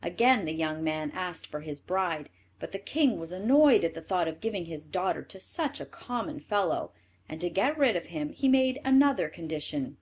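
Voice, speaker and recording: A woman reading a scripted fairy tale as a storyteller, in an expressive manner. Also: fairly low pitch, normal speed, slightly rough.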